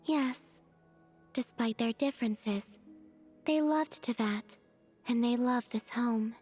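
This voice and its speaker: A serene young moon goddess from a video game. The voice is soft, airy and fairly high, speaking in a measured, formal, innocent way over faint music. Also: female voice, slightly rough. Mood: wistful, calm. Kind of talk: video game character acting.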